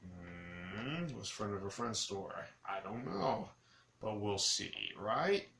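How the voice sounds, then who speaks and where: A man hosting a casual, rambling beer review, speaking haltingly and often correcting himself. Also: lowish voice, expressive, a bit bright. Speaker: beer review vlogger, host. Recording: roomy echo with clear background noise.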